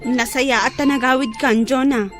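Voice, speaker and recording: A woman's voice: high-pitched, fairly even intonation, moderate pace, music in the background, lightly acted. A woman playing a chatty, helpful young best friend who loves to pass on news in a radio drama. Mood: gossipy, urgent. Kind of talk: radio drama acting in Ilocano and Tagalog.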